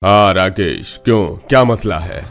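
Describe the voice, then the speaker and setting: A man's voice: sits low, a little slow, a bit bright, soft background music. A man voicing a sober older village headman in a cartoon story, plain-spoken and colloquial.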